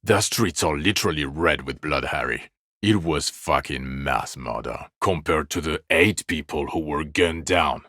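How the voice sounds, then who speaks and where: An actor voicing a bitter, exasperated police detective in a video game, with scornful, biting sarcasm. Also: male voice, a bass voice, bright, forceful tone, no echo, studio quality, exaggerated voice. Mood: grim, accusing.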